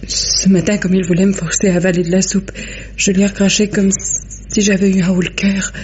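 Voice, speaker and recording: An actress plays a frail, fearful victim in a vintage radio mystery, halting and plaintive, as if drained of strength. The recording is muffled, with a faint hiss. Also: female voice, medium pitch, level tone, a little mellow, close to an everyday voice. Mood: fearful, weary. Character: frightened, weakened woman, starved victim of her husband. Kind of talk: old French radio drama acting. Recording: dull old radio recording, faint noise.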